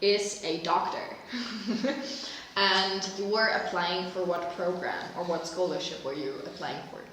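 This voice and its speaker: A woman's voice: on the low side, fairly even intonation, unhurried, a bit bright. A young woman from Germany hosts a casual interview podcast. She speaks in a very echoey room, with faint noise behind her.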